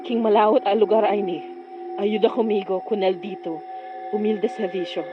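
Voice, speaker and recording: Woman acting young heroine, horror podcast, earnest and firm, normal pitch, bit muffled. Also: fairly even intonation, a little fast, music in the background, natural voice. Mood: incanting. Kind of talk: horror audio drama. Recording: slightly dull sound.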